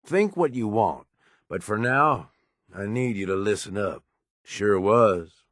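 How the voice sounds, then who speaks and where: An actor playing a gruff, cynical middle-aged raider in a video game, with an American accent and a blunt, folksy, profane way of talking. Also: male voice, lowish voice, expressive, slow pace, a bit bright, dry recording, studio quality. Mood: blunt, insistent. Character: gruff, cynical middle-aged raider, plain-spoken and loyal to his boss. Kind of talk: video game companion dialogue.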